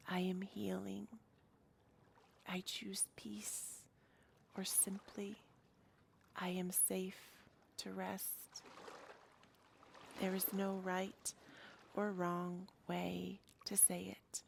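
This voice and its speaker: A woman guiding a meditation in a soothing, hushed, breathy manner. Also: fairly low pitch, slight background noise. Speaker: yoga nidra guide. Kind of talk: guided meditation.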